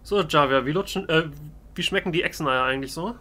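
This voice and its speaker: Bright-voiced man doing gameplay commentary. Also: fairly high pitch, fast talker. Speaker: let's play host. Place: voice-over on game footage.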